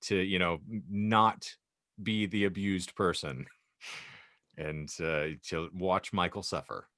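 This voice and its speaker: A man's voice: deep voice, steady tone, slow pace, sharp tone, no echo, studio quality. A man hosting a casual comedy podcast, bantering and speechifying with mock-solemn grandeur. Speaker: podcast host.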